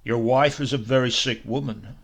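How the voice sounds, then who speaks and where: Man performing an indignant lovelorn physician, abrupt, muttering, raspy, horror audiobook. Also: medium pitch, fairly even intonation, moderate pace, close to an everyday voice. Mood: grave, abrupt. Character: indignant doctor, secretly lovestruck, muttering reproach.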